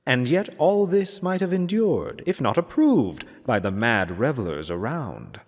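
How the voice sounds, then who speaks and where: A man reading a gothic story aloud as narrator, in a solemn, measured and theatrical manner. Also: deep voice. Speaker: narrator, reader. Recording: long hall-like reverb.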